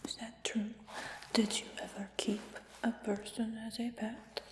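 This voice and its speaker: A woman plays a curious dragon hunter in a fantasy ASMR roleplay, speaking in a soft, breathy whisper at an unhurried, slow pace. The whisper hangs in a roomy space with a long, lingering reverb. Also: fairly high pitch, level tone, natural voice. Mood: hushed, curious. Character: curious human dragon hunter who captured a dragon.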